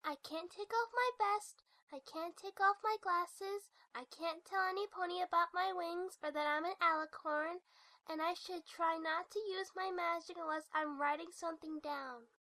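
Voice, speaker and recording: A woman's voice: extremely high pitch, steady tone, normal speed, bright, forceful tone, no room sound. A woman voicing a timid, clingy little filly schoolgirl in an acted audiobook, with childlike, anxious questioning. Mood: dutiful reciting.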